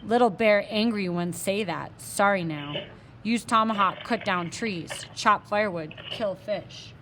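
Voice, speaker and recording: A woman's voice: mid-range voice, unhurried, noisy background, lightly acted. A woman voicing a small, stern warrior man who talks in clipped, broken short phrases. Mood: demanding.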